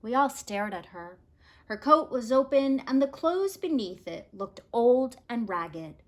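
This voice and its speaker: A woman narrating a children's picture book as a read-aloud, in an expressive, measured storytelling manner. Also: fairly high pitch.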